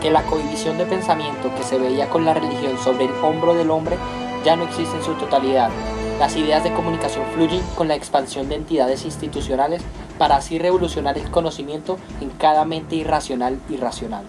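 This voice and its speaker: Young man narrating, higher voice, loud music. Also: fairly even intonation, a little fast. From Colombia. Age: young adult. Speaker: radio program host and narrator. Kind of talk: scripted educational radio narration.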